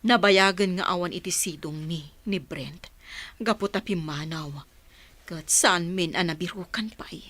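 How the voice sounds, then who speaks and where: A woman's voice: on the low side, unhurried, a bit bright, no echo. A woman with an Ilocano accent playing a guilty, evasive woman in a radio drama, hesitant and dramatic. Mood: nervous, guilty. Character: guilt-ridden, evasive woman hiding a wrongdoing. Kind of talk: Filipino radio drama acting.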